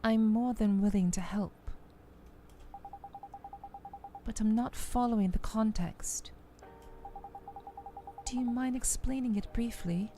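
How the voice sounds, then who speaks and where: A woman voicing a composed, caring, observant female character from a story game, in a measured, gently probing way. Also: on the high side, slight background noise, voice pushed up, close to an everyday voice. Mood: composed, helpful. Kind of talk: voiced reading of game dialogue. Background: music.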